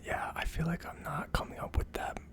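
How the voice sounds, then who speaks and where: An ASMR host of unclear sex speaks entirely in a whisper. The delivery is casual and unscripted, like someone thinking aloud. It comes across as tentative and reflective. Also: a little fast. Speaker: host of a whiskey tasting series.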